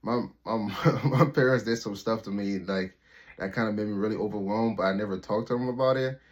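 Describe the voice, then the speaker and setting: A man's voice: fairly low pitch, fairly quick. A man in his twenties from Liberia, telling a personal story to his channel in a loose, rambling way with plenty of "like" and cursing. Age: 20s. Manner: rambling, loose and profane, full of "like" and restarts. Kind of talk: casual storytelling vlog. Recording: dull lo-fi recording.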